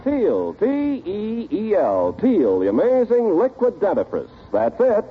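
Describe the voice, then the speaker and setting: A man's voice: high-pitched, huge pitch swings, unhurried. A man with an American accent announcing in 1940s radio style, reading scripted sponsor copy and story introductions. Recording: tinny old radio broadcast with music.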